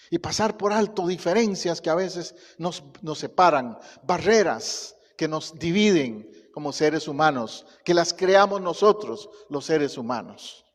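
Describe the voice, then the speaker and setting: A man from Costa Rica with a Costa Rican Spanish accent, a pastor preaching a sermon and telling stories in a lively way. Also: high voice, big-room sound. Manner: storytelling, animated, laughs at own anecdotes. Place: church sanctuary.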